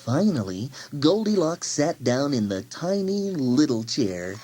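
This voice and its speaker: A woman narrating a children's story expressively, with gentle, exaggerated emphasis for young listeners. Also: a bass voice, big pitch swings, measured pace, low-quality recording. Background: music.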